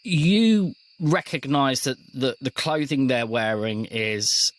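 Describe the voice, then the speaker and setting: A man's voice: mid-range voice, slow pace, slight background noise. A man acting as game master, narrating scenes and asking players for rolls in a tabletop role-playing game.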